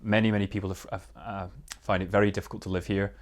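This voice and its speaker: A man's voice: sits low, flat delivery, fast. A man giving an earnest political answer as a candidate, sometimes stumbling and restarting. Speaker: parliamentary candidate, NHS worker. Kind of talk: hustings answer, political speech.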